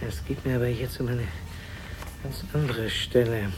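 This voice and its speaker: An actor as a solemn, philosophical man in a radio drama, mid-pitched, reciting maxims in a musing way. Music plays clearly behind him. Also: steady tone, normal speed, lightly acted, low-quality recording. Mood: solemn, searching. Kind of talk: declaimed reading in a German radio play.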